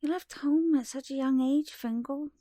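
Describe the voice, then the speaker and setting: A woman plays a tender long-lost mother in an audio drama. Her fairly high voice is close and dry, gently maternal and knowing, with quiet reproach. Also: normal speed, no echo, lightly acted.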